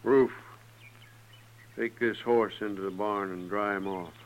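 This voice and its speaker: An actor playing a bitter, domineering American frontier father in a 1950s radio western, gruff and blunt. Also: on the low side, steady tone, unhurried, a little hiss or hum, natural voice. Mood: gruff, suspicious. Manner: gruff, blunt, folksy drawled grammar. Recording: dull old radio recording.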